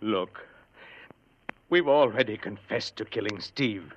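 A man's voice: fairly low pitch, lively intonation, slow pace, a little hiss or hum. An American man playing a touchy, defiant middle-aged father in a 1950s radio drama, clipped and insistent. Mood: defiant, irritated. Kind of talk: 1950 radio drama acting. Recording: dull old radio recording.

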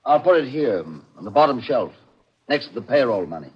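An affable, unsuspecting businessman played by a man in a 1940s radio drama. The voice is animated and obliging, on a muffled recording with a little noise. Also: medium pitch, fairly quick, lightly acted. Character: unsuspecting, obliging theatrical producer and husband.

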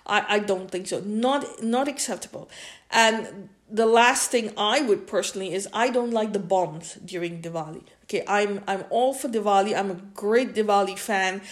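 A woman's voice: medium pitch, moderate pace. A woman giving an informal opinion monologue as a podcast host, emphatic and repeating phrases for stress. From India.

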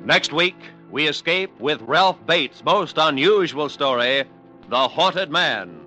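Male 1940s network announcer, formal credit reading. Slightly high pitch, music clearly behind, old broadcast sound. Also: lively intonation, slow, rough recording. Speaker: radio announcer.

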